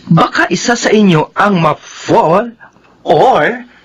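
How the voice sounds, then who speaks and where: A woman playing a sassy, frank and bossy best friend in a Filipino radio drama, with exaggerated, drawn-out delivery. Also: medium pitch, lively intonation, husky. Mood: cautioning, gossipy.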